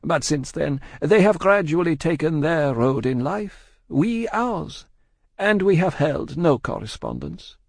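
A man voicing a cold, composed French tavern-keeper's wife in an audiobook, speaking in measured, curt phrases. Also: on the high side, animated, gravelly, slightly rough, dry recording, clean studio sound, voice pushed up, full character voice. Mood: cool, probing.

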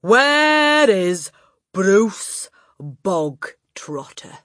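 A woman voicing a brutal, tyrannical female headmistress who shouts slowly and booms. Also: very animated, dry recording, clean studio sound, heavily acted. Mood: barking. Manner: shouting, slow and booming.

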